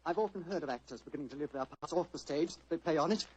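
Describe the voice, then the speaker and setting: An actor playing a tormented stage performer who fears his own madness, husky, halting and melodramatic in old radio style. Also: a little high, a little hiss or hum, close to an everyday voice, rough recording. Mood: tense, desperate. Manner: agitated, halting, dramatic. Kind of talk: melodramatic 1940s radio acting.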